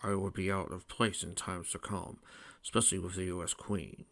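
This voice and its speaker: A man voicing a grave, weary old fatherly king in a hushed, breathy voice for a story. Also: low voice, level tone, normal speed. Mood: grave, resigned.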